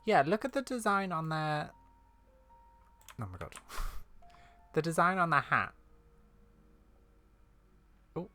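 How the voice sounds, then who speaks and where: A woman's voice: low-pitched, big pitch swings, slow pace, long pauses, bright, forceful tone, faint music behind. A woman giving casual let's play commentary, musing and thinking aloud as she plays. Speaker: gamer commentating on a playthrough.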